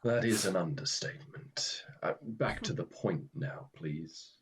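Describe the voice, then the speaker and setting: Guy acting grim reaper type, low husky voice, slow and formal, weary, radio play. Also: lively intonation, exaggerated voice. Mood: dry, grave. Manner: formal, dry, understated.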